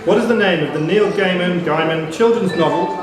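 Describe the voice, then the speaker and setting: A man's voice: high-pitched, brisk, over music. A man hosting a live pub quiz, reading questions and chatting off the cuff with the players. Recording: echoey noisy pub, crowd chatter.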